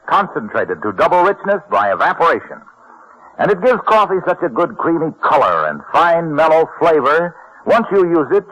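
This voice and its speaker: Male vintage radio announcer: bright, forceful delivery, very animated pitch, polished sales patter. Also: rough recording.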